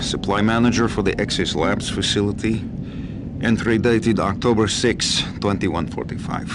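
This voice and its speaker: Guy playing a dry official supply manager on a mars base, lowish male voice, noisy recorded log, video game. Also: natural voice. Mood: businesslike, grim. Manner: matter-of-fact, formal log dictation. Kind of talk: scripted audio log.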